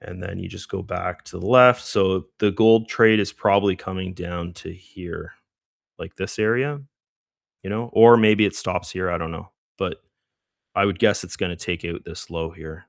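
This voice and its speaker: Hoarse low-voiced man with gravelly creak. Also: fairly even intonation, moderate pace, no echo, clean studio sound. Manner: rambling, thinks aloud with many fillers. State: hoarse, losing his voice, clearing his throat. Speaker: trading livestream host. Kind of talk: casual live market commentary.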